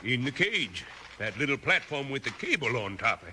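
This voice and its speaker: Sharp-voiced, wry mine hand. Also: male voice, medium pitch, very animated, normal speed. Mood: wary, explaining. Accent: American. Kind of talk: 1950s radio western acting. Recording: noisy old 1950s radio recording.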